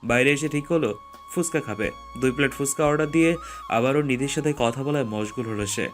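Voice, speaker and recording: A man's voice: medium pitch, a little fast, soft background music. A man reading scripted story narration as the narrator.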